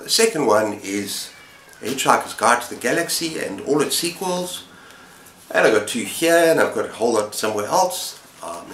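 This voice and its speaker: A man's voice: lowish voice, fairly bright tone. A middle-aged man chatting casually as the host of a book-review vlog. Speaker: booktuber host. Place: indoor room lined with bookshelves. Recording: roomy, echoey indoor sound.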